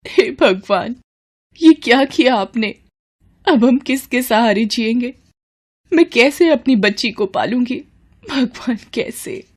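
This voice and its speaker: Woman acting as poor anxious mother, cartoon story, pleading, deferential, animated pitch swings. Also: slow. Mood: anguished pleading. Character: humble, anxious, grateful poor widowed mother seeking work. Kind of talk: Hindi cartoon story dialogue.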